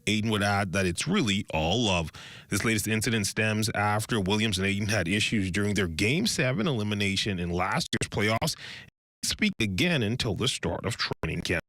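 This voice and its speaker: A man reading scripted sports news as a television presenter, in measured, formal phrasing. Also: fairly low pitch, animated, a bit bright, no echo, studio quality.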